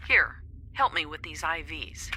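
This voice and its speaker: A woman's voice: deep voice, lively intonation, a little slow, background noise. An actress playing a driven, stubborn woman scientist in an audio drama. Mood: stubborn, insistent. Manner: insistent, resolute.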